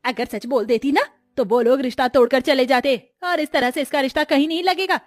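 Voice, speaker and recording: A woman's voice: high-pitched, brisk, no echo, studio quality, natural voice. A woman voicing an anxious middle-aged mother in a cartoon story, insistent and exasperated.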